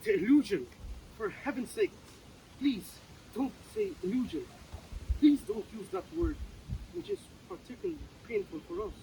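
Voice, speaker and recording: A man's voice: high voice, very animated, moderate pace, pauses often, warm tone. An actor in a dramatic play reading, playing an earnest, philosophical man with a formal, emphatic, argumentative manner. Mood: pained, indignant. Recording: distant mic, faint background noise.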